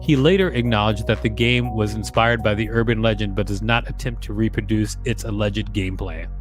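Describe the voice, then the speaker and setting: A man co-hosting a podcast, reading an encyclopedia article aloud in a plain, even way. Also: lowish voice, fairly quick, soft background music.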